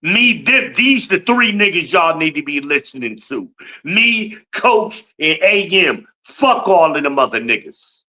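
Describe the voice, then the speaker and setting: A man's voice: on the high side, sing-song, measured pace, sharp tone, low-quality recording. A man in his fifties from Newark, New Jersey, hosting a podcast livestream with a boastful, blunt, profane rant. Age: 50s. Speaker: podcast host.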